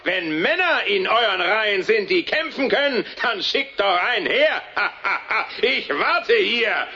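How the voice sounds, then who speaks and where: Giant warrior, radio play, mocking, boastful, very high forceful voice, dull recording. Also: male voice, big pitch swings, slow, slight background noise, heavily acted. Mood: mocking, challenging. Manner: mocking, challenging, with scornful laughter.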